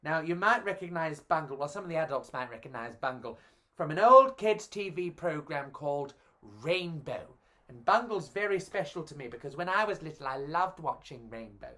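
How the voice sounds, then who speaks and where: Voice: mid-range voice, expressive, moderate pace, bright, forceful tone. A British storyteller presenting for children. The delivery is theatrical and effusive, in a playful pantomime style with lots of exaggerated emphasis.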